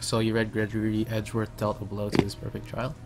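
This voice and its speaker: A man's voice: lowish voice, monotone, fast talker, loud music behind the voice, lightly acted. A man voicing an earnest, determined young male defense attorney in a game dub. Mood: questioning.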